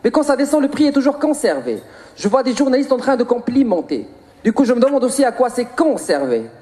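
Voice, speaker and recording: A man's voice: extremely high pitch, very animated, brisk, warm tone. Moroccan guy doing slam poetry, theatrical and punchy, rhythmic lines w stretched out endings. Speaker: slam poet. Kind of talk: spoken-word slam poetry performance.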